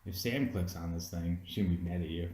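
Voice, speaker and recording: A man's voice: low-pitched, normal speed, darkish tone, roomy sound. A man co-hosting a casual movie review, explaining his points insistently in loose, sweary conversation. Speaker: co-host of a movie review podcast.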